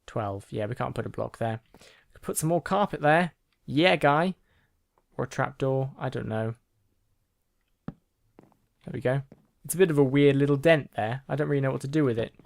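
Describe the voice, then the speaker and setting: A man talks his viewers through a building game in a loose, chatty way, full of ums and half-finished sentences. His voice sits at an ordinary pitch. The recording is close and dry, with no room sound. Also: normal speed, creaky, pauses often, dry recording. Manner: chatty, rambling, with frequent ums and restarts. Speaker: gaming channel host. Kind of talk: casual gameplay commentary.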